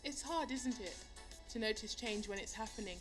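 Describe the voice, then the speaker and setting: A woman's voice: on the high side, normal speed, soft background music, lightly acted. An actress playing a blunt, wry, sweary young woman radio host in an audio drama. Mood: reflective. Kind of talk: audio drama banter between radio co-hosts.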